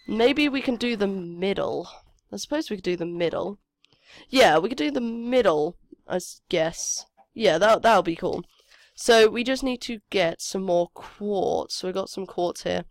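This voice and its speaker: Woman w a cold narrating her game, sniffly cracky voice, mid pitch, super dry sound. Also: no echo. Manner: chatty, hesitant, thinking aloud. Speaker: gameplay commentator. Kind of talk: casual game commentary.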